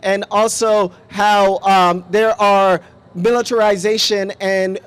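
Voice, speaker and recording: High-pitched young man speaking at a rally. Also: steady tone, slow pace, a bit bright, clear, resonant tone. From Somalia. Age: 20s. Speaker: community organizer, rally speaker. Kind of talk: rally speech.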